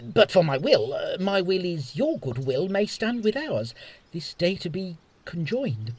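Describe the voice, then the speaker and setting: Husky, lively lord in love. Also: male voice, high voice, unhurried, full character voice. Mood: awkward, earnest. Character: witty, teasing male soldier lord in love. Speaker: actor in an audio Shakespeare play. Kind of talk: Shakespearean radio play acting.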